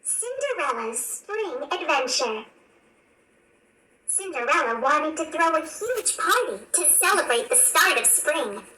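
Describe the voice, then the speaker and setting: A woman reading a children's storybook aloud in an expressive, animated storytelling manner. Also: high-pitched, measured pace. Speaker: storybook narrator. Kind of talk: scripted children's read-along narration. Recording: roomy, distant, faint background noise.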